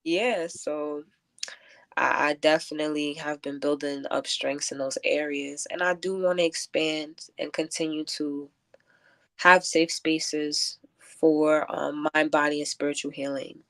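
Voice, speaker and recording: A woman's voice: low-pitched, level tone, unhurried, fairly bright tone. A woman talking earnestly and reflectively as a guest in a casual conversational interview. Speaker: interview guest, event curator and poet.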